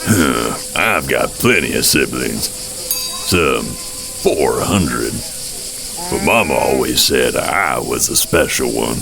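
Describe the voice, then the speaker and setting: A gruff alien with an extremely low, creaky voice. He talks in folksy, laconic slang and takes his time, like a teasing drawler in a sci-fi audio drama. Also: lively intonation, slow pace, exaggerated voice. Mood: curious, folksy. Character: gruff alien man, folksy and teasing, breathes through a tube.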